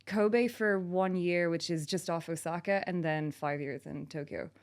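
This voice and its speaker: A woman's voice: fairly low pitch, steady tone, unhurried. A woman from France with a mixed international accent, a podcast guest who talks in a chatty, casual way, using lots of "like" and "um". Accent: mixed international, slightly Irish-tinged English. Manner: chatty, with frequent "like" and "um", laughing easily. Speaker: guest, author and yoga teacher.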